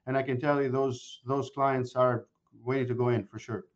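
A man in his forties, a guest mortgage broker, chatting casually in an interview and telling animated stories with many restarts. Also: medium pitch, moderate pace, dull audio. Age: 40s. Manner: animated storyteller with frequent restarts.

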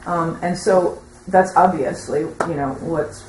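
A woman with a low voice offers a halting, tentative testimony from the audience. She often trails off, and the room adds a clear echo over faint background noise. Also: a little mellow. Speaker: audience member commenting at a teaching session. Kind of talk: rambling spoken testimony in a group discussion.

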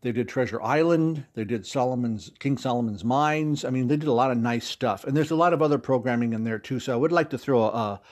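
Medium-pitched man chatting as interview guest. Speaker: interview guest, audio drama producer. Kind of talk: conversational interview explanation.

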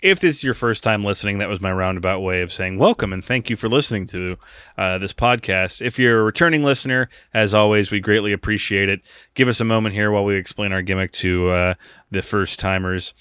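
A man's voice: on the low side, a little fast, fairly bright tone, clean tone, no echo, studio quality. A man co-hosting a podcast, chatting casually and jokingly, rambling with frequent laughs. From United States. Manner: rambling, jokey, laughs often. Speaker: podcast co-host.

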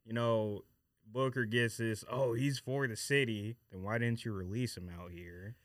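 A man's voice: fairly low pitch, steady tone, measured pace, dry recording, clean studio sound. A man chatting casually as a podcast guest, giving skeptical, informal opinions about sneakers. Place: dry studio.

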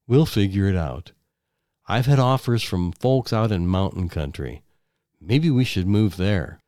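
A worn-out young husband, as the narrator voices him, flat, clipped and resigned. He talks slowly, low in the throat, in a dry, close sound. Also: no echo. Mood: fed up. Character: weary young husband, tired and fed up.

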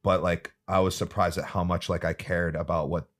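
A man's voice: low voice, flat delivery, normal speed. A man in his thirties, a martial arts coach and podcast guest, chatting casually in a rambling, laid-back way full of "like" and "dude". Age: 30s.

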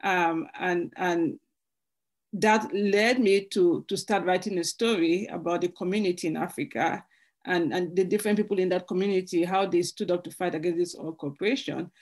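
Woman novelist from Cameroon, reflective, halting restarts, video call. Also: lowish voice, steady tone, darkish tone. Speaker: author. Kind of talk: author interview.

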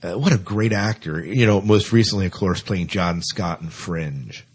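A man hosting a casual podcast, chatting in a halting way and repeating words as he thinks. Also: sits low, breathy edge. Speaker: podcast host; teacher. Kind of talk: casual co-hosted podcast chat.

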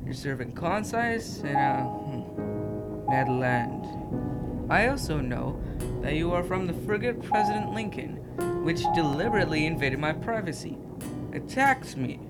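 A proud and deliberate sea captain played by a young woman in amateur radio theatre. The voice sits fairly low and speaks formally, over strong background music. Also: big pitch swings. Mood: stern. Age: teenager. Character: proud, cold, commanding male submarine captain. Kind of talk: youth radio theatre acting. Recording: radio play with loud music underneath.

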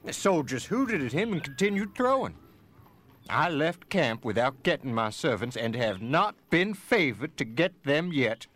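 An actor playing an indignant slaveholder who makes a formal, emphatic complaint in a dramatic reading of historical documents. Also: male voice, a little high, big pitch swings, measured pace, fairly bright tone, heavily acted.

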